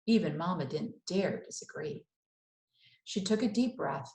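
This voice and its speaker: A woman's voice: on the low side, unhurried, vocal fry, a little mellow. A woman reading a children's story aloud expressively, as a teacher would to young listeners. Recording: echoey room sound.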